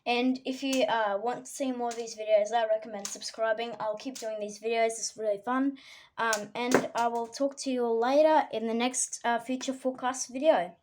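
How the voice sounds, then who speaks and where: An Australian woman with a croaky voice from a cold, giving a casual weather forecast with many ums and frequent throat clearing. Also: fairly high pitch, steady tone, moderate pace, darkish tone, slight background noise.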